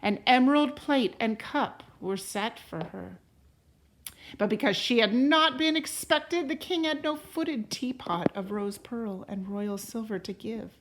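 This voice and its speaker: A woman reading a storybook aloud to a class as a teacher, in a deliberate, expressive way. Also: a little high, low-quality recording. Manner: deliberate and expressive, pausing to question listeners.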